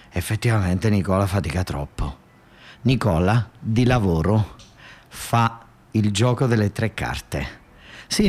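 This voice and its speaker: Animated southern Italian male storyteller. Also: medium pitch. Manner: colloquial, repetitive, dialect-tinged delivery. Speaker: storyteller and stage actor. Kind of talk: theatrical comic monologue storytelling.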